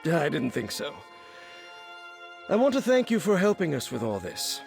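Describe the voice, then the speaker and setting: A man's voice: a little high, wildly swooping pitch, moderate pace, creaky, soft background music, heavily acted. A man voicing a friendly, earnest young soldier in a video game, speaking informally. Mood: hopeful, grateful. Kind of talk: scripted video game dialogue.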